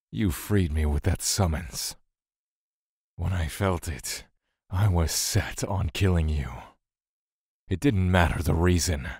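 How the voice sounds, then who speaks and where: An actor playing a brooding, bitter male god in an audio drama, grieving and vengeful, speaking slowly with cold menace. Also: deep voice, a little mellow, dry recording, clean studio sound, heavily acted. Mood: cold, menacing. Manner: slow, deliberate, cold menace.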